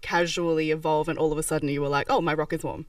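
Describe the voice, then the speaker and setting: A woman's voice: low-pitched, little pitch movement, quick pace, no echo. A woman hosting a podcast interview, asking questions with enthusiasm. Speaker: podcast host. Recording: dry, slightly distant mic.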